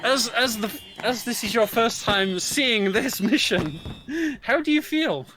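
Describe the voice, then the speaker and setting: A man's voice: extremely high pitch, expressive, measured pace. A man giving casual, hesitant live commentary on a speedrun. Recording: loud game music behind. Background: video game music and sound.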